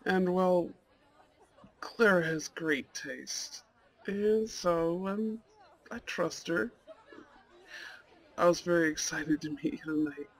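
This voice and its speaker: Shy, courteous goth boyfriend type played by a man, creaky and unhurried, romantic audio roleplay with faint chatter behind. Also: on the high side, heavily acted, poor audio. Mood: nervous, sweet. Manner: hesitant, soft-hearted, nervous little laughs. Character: shy, polite, dorky young goth man on a blind date.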